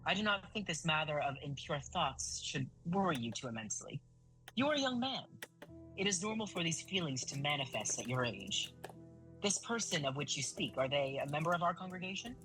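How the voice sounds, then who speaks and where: A man's voice: fairly high pitch, very animated, brisk, bright, forceful tone, faint music behind, poor audio. Man playing a formal, preachy fatherly priest. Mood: earnest, counseling. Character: earnest fatherly priest counseling a young confessor. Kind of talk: audio drama acting.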